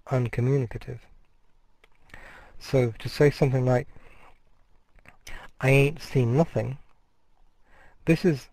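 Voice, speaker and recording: A man's voice: medium pitch, creaky, warm tone, dry recording. A middle-aged man lecturing to university students, explaining step by step and sometimes restarting a word mid-phrase. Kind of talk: recorded course lecture.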